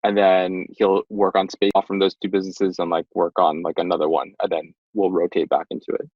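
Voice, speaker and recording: A young man in his twenties with a low voice talks through his work as a podcast guest, loose and rambling and full of fillers. The sound is dry and a little dull, like a modest home setup. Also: a little fast, husky, dry recording. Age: 20s. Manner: relaxed, rambling explainer with many fillers. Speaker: guest, startup tech executive. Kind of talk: casual interview chat.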